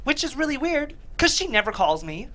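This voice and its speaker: A woman's voice: sits high, very animated, fairly quick, fairly bright tone, a little hiss or hum. A woman reading a dry, self-deprecating young man who wisecracks in a sitcom table read. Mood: bemused storytelling.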